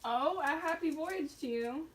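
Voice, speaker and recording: Woman playing a high-voiced brisk jail marshal. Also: poor audio. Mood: brisk, ordering. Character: brisk, dutiful male jail marshal of colonial Salem. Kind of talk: amateur puppet show acting of a stage play.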